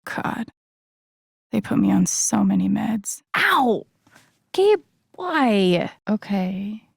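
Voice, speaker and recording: An earnest, determined young woman in a dramatic video game. She speaks slowly and hesitantly, with plenty of creak in a heartfelt, emotionally exposed delivery. The sound is close and dry. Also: mid-range voice, big pitch swings, dry recording, exaggerated voice. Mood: distressed.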